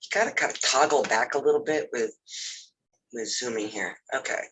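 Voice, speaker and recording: A man's voice: medium pitch, normal speed, slightly rough, rough recording. Nasal congested man teaching a lab. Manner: relaxed, filler-heavy, says "you know" often. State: has a cold. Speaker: lab instructor. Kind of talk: casual recorded lab walkthrough over a video call.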